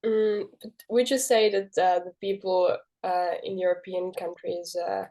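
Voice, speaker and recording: A woman hosting a podcast interview, asking questions in a soft, tentative way. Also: fairly low pitch, little pitch movement, measured pace.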